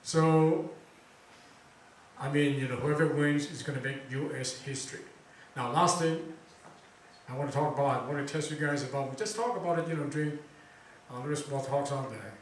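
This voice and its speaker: A man's voice: mid-range voice, moderate pace, pauses often, a little hiss or hum. Chatty man in a very echoey room. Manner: chatty, quizzing the audience, many fillers. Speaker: event host introducing a speaker. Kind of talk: casual talk to a student audience. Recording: very echoey room recording from far off.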